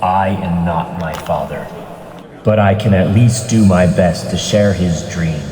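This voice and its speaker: A man voicing a wealthy, idealistic civic leader and vigilante in a comic dub, composed, measured and stately. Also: deep voice, warm tone. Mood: solemn, idealistic. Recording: echoey hall, distant from mic.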